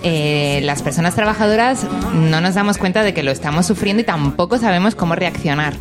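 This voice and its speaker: Low-pitched woman from Spanish radio talk. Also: fairly quick, music in the background. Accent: Spain. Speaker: radio segment presenter. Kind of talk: conversational radio discussion.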